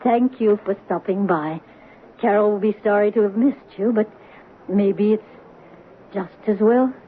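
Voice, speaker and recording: An actress playing a kind, widowed mother in an old radio drama, gentle in manner with nervous little laughs. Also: mid-range voice, a little slow, phone-quality sound, natural voice. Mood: gentle, anxious. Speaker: Celia, a mother. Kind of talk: 1970s radio drama acting. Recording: old radio broadcast, phone-thin with hiss.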